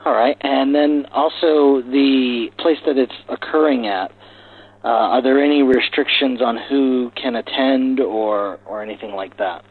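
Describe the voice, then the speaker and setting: A man's voice: medium pitch, unhurried. A man hosting a podcast, asking questions in a casual interview style, recorded in lo-fi call quality. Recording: lo-fi narrowband podcast call audio.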